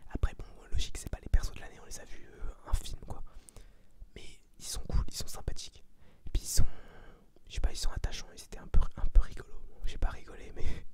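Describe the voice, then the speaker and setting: Whispered, ASMR, informal, slangy, rambling reviewer. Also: fast talker. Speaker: ASMR video host giving a film review.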